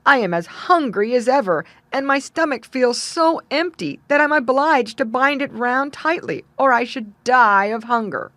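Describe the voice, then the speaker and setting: A woman plays a ravenous storybook man, miserable and complaining. The delivery is exaggerated, lifted in pitch and full of movement. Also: animated, moderate pace, hardly any pauses, a bit bright, no echo. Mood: desperate hunger. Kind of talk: storybook character voice.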